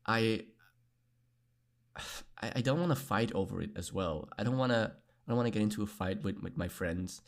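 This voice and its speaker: A man's voice: lowish voice, moderate pace, pauses often. A man talking candidly as a podcast guest, earnest and reflective, with many fillers and frequent laughs. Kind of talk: candid conversational interview.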